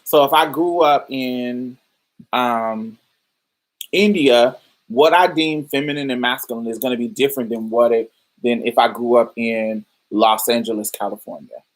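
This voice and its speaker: A woman's voice: very deep voice, fairly even intonation, normal speed, rough recording. A woman hosting a podcast, explaining ideas in a loose, thoughtful way, with many fillers and restarts while talking to listeners. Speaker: podcast host. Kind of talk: casual livestream podcast talk.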